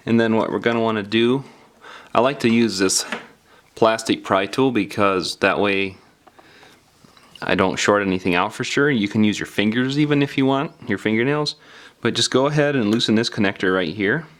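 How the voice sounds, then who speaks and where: A man narrating a step-by-step repair tutorial in an informal, plain-spoken, explanatory way. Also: fairly low pitch. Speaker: DIY phone repair tutorial presenter. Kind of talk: casual step-by-step instructional narration.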